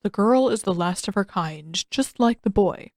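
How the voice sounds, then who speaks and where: A woman voicing a calm, measured older uncle, a diplomatic advisor, in an audiobook. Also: mid-range voice, no room sound, studio quality, lightly acted. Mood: calm, persuasive. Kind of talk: audiobook character voice.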